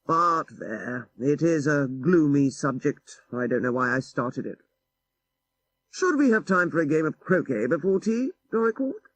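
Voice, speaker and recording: A man voicing a worldly, bookish gentleman in an audiobook story, urbane, knowing and deliberate. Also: on the high side, sing-song, no room sound, full character voice, rough recording. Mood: evasive.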